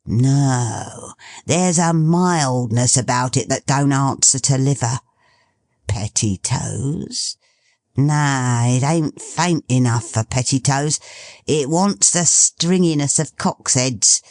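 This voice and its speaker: A woman's voice: very deep voice, darkish tone, dry recording, studio quality. A woman voicing a good-natured old working-class father who muses aloud in homely dialect. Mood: musing. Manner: slow, musing, homely dialect.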